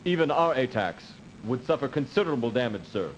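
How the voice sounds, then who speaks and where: An actor playing a dutiful, cautious officer who gives formal, measured briefings in a video game cut-scene. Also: male voice, mid-range voice, expressive, lightly acted. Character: dutiful, cautious male officer briefing his space commander. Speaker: military adviser in a video game. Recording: dull, noisy old CD game audio.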